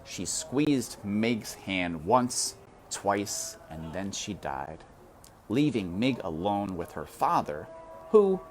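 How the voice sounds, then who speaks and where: A man reading a children's novel aloud, dramatic and deliberate, turning to talk directly to his listeners. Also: fairly low pitch, big pitch swings, faint music behind. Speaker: reader.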